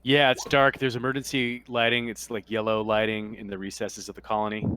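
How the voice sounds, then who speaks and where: A man acting as game master, narrating a tabletop roleplaying game in a casual, halting way. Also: lowish voice, flat delivery, noisy background.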